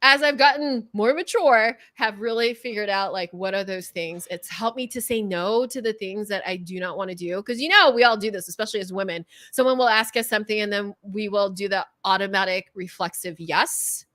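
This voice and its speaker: A middle-aged woman with a California Valley accent, whose first language is Korean, talking fast and passionately as a coach in an interview, sometimes stumbling over her words. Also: medium pitch, fairly bright tone, no echo. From Southern California. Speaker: guest coach. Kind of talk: energetic interview chat.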